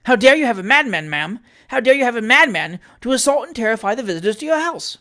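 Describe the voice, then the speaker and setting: Female narrator doing indignant gent, shrill, near-shouting, wide swings. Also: sing-song, quick pace. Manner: loud, near-shouting outbursts. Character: agitated indignant gentleman, shrill and panicky.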